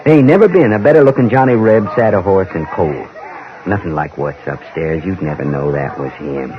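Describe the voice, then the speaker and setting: An actor as a low-voiced, weary old-West raider. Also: male voice, a crowd in the background, lightly acted. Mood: wistful reminiscing. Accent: American. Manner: halting, rambling, plain-spoken. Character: brooding, weary ex-raider cowboy reminiscing about war. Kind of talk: old-time radio western acting. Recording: dull vintage radio recording.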